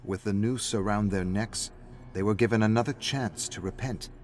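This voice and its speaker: A man's voice: on the low side, moderate pace, a little mellow. A man reading a story aloud as a scripted, dramatic narrator. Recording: music playing behind the voice. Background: faint background music.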